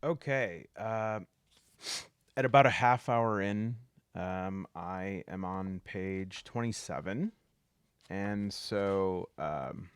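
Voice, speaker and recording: A man hosting a solo tabletop game show, talking casually to camera in a halting way with lots of fillers and restarts. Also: low-pitched, clean tone.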